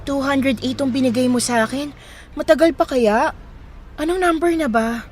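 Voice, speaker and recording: A woman's voice: high voice, moderate pace, slight background noise, natural voice. An actress playing a friendly, inquisitive young woman in a radio drama, speaking casually. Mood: curious. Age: young adult. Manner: casual, conversational. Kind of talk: naturalistic radio drama dialogue in Tagalog.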